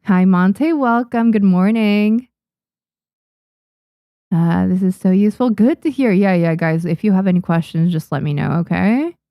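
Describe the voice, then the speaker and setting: A woman's voice: mid-range voice, expressive, a little slow, no echo, muffled recording. A young woman, a native Japanese speaker, chatting casually on a live stream, reading and answering viewers' questions with many restarts and fillers. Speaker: streamer and manga artist.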